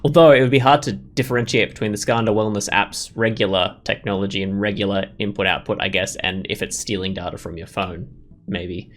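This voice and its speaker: A man's voice: lowish voice, steady tone, a little fast, faint music behind. A man hosting a gaming video, giving casual let's play commentary and thinking aloud in a rambling way.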